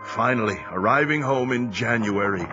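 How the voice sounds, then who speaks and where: A man playing a reflective seaman who narrates memories of his life in a measured storytelling manner for an audio drama. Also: mid-range voice, dull audio, natural voice. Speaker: first-person narrator. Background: music.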